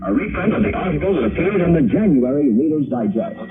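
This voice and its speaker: A man's voice: on the high side, very animated, fast talker. Old shortwave recording of an American male announcer. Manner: formal, scripted delivery. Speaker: radio announcer. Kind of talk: 1960s radio announcing. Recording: old dull band-limited shortwave radio recording.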